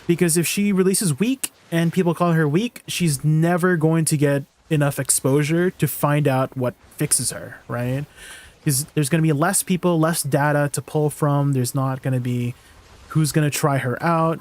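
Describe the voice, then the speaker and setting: Male streamer, casual live chat, bit high-pitched, filler-heavy, thinking out loud. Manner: thinks aloud with many fillers and restarts.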